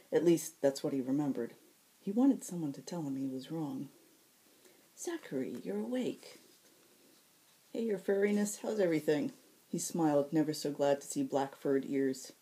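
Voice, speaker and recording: A woman, an author reading her fiction aloud in an expressive narrating style. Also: low voice.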